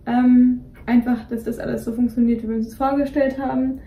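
A woman's voice: a little high, little pitch movement, brisk, mellow. Young woman rambling in a roomy bedroom. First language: Greek is partly her home language. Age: young adult. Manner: rambling, many fillers and restarts. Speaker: co-host. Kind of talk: casual chat. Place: dim bedroom, indoors. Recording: roomy echo, voice somewhat distant from mic.

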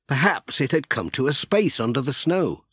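A man narrating a children's story audiobook in an expressive storytelling manner. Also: mid-range voice, moderate pace, breathy edge, dry recording, studio quality.

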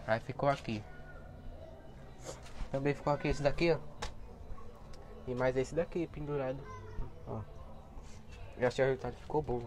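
A young man with a cold and a hoarse voice, chatting casually as he films his plants outdoors on a handheld phone. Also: mid-range voice, steady tone, moderate pace, pauses often, noisy background. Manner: chatty, points things out to viewers. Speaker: plant vlogger. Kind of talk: casual walkthrough vlog. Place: outdoor backyard garden area. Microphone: handheld phone camera.

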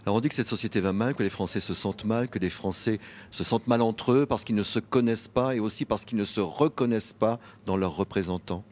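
Male co-host, 50s. Brisk conversational interviewer with a somewhat deep pitch. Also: a little mellow, slight background noise. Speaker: journalist and co-host. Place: indoor TV set in a lobby. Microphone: handheld microphone.

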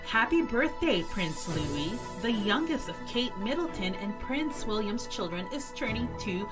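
A woman's voice: mid-range voice, sing-song, unhurried. A woman presenting scripted entertainment news as a newsreader. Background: music.

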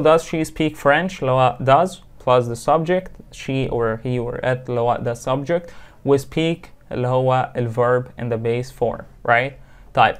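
A young Egyptian man who teaches English, speaking with an Egyptian accent. His first language is Arabic. He explains grammar over a webcam, switching between Arabic and English, and reads the example sentences slowly and repeats them. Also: medium pitch, clear, resonant tone. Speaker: English instructor. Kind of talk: online grammar lesson, mixing Arabic and English. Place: small room indoors.

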